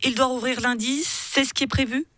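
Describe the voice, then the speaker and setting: A woman's voice: high voice, steady tone, fairly quick, a bit bright, no echo. Composed woman radio host. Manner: composed, direct questioning. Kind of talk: radio interview.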